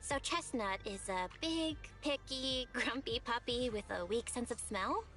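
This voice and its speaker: High-pitched, skeptical little companion. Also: female voice, measured pace, fairly bright tone, soft background music. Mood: doubtful. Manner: wry, questioning. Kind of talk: anime video game voice acting.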